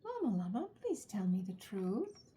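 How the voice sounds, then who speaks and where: A woman voicing a gentle, forgiving mother llama in a storybook read-aloud, tender and reassuring with drawn-out words. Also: mid-range voice, big pitch swings, slight background noise. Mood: earnest.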